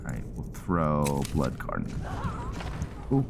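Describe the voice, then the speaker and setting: A man's voice: extremely low pitch, very animated, slow, vocal fry, noisy background. A man giving casual, easygoing gameplay commentary, thinking aloud as he plays. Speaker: gamer narrating playthrough.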